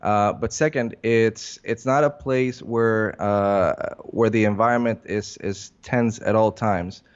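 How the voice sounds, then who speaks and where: A man with a lowish voice gives unscripted interview answers. He talks haltingly, feeling his way through sentences with many fillers. The sound is somewhat dull and muffled. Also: clean tone. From Puerto Rico. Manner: halting, hesitant, filler-heavy phrasing. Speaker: interview guest, air traffic controller. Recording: muffled lo-fi recording.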